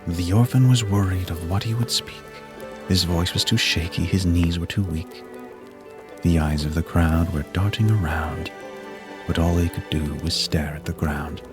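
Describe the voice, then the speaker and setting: A man storyteller reading a rhyming Christmas poem in a solemn, measured way. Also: low voice. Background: music.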